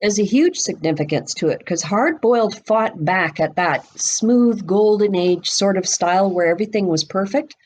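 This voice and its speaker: Woman guest on a podcast explaining stuff, emphatic, mid-pitched voice. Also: animated, normal speed, few pauses, darkish tone. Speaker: guest expert and bookseller. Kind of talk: podcast interview, explaining literary history.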